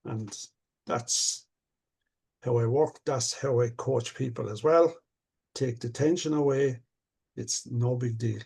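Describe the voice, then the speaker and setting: Older man with a gravelly, husky voice. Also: mid-range voice, takes it slowly, pauses often, a little mellow. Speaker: stuttering coach. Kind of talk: casual conversation.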